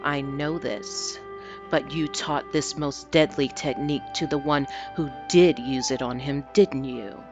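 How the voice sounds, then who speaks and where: A woman voicing a cold, commanding, taunting fox demon spirit in a dramatic story reading, speaking deliberately and threateningly. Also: a bass voice, fairly even intonation, music in the background. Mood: stern interrogation.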